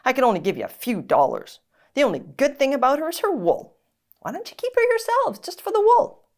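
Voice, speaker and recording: A woman's voice: high-pitched, sing-song, brisk, voice pushed up. Woman voicing a blunt, haggling storybook butcher. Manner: blunt, bargaining.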